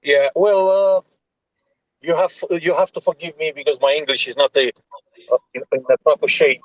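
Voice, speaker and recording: Romanian man, halting on a dull call line. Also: on the high side, steady tone, no echo. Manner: hesitant, searching for words in his second language. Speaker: guest. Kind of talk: halting personal story on a livestream.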